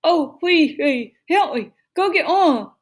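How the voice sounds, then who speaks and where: A woman's voice: extremely high pitch, expressive, slow pace, pitched above the performer's own voice, full character voice. A woman voicing a panicked, tearful teenage boy in a storybook, mumbling and pleading for help. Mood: desperate. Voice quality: muffled, mumbling as if mouth is full. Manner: wailing, pleading.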